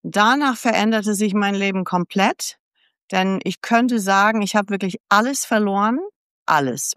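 A woman around seventy, born in the United States, tells her life story in a relaxed interview. Her voice is smooth and resonant at a mid pitch. The recording is close and dry, with no room sound. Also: measured pace, dry recording. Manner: candid, chatty storyteller who acts out past dialogue. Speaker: podcast guest.

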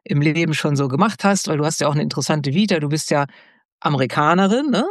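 A man hosting a casual interview, quickly and informally prompting his guest to talk about herself. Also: a little high, lively intonation, no room sound, clean studio sound. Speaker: podcast host.

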